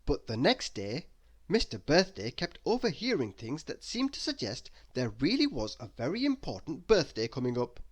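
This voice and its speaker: A man reading a children's story aloud as narrator, expressive and deliberate with dramatic emphasis. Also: mid-range voice. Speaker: storybook reader.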